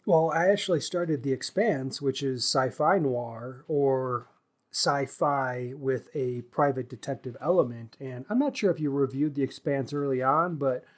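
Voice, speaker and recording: A man's voice: medium pitch, unhurried. A man co-hosting a podcast, chatting casually and thinking aloud with somewhat hesitant phrasing. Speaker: podcast co-host. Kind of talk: casual chat.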